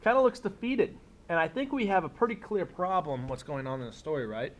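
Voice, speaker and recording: A man teaching young children, reading a picture book aloud expressively and pausing for simple explanatory asides. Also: a little high, lively intonation. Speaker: elementary school teacher.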